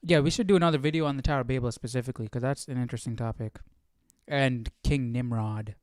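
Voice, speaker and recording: A man's voice: mid-range voice, fast talker, a little mellow, no echo, studio quality. A man hosting a livestream, chatting casually and thinking aloud in a rambling way. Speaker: livestream host of a Bible study series.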